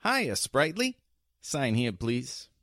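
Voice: medium pitch, sing-song, takes it slowly, fairly bright tone, exaggerated voice. A performer playing a flirtatious, cocky male delivery messenger in a 1940s-style radio drama, teasing and smarmy.

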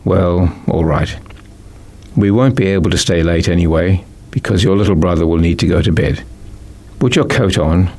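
A man's voice: low-pitched, moderate pace, darkish tone. A man voicing a practical, slightly weary mother in a children's story. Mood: firm, weary. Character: practical, firm, weary mother of young boys.

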